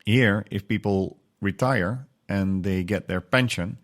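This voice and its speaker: A deep-voiced man with a Dutch accent speaks in a conversational style. He is measured and reflective, now and then searching for the right word. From Netherlands. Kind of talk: conversational, personal account.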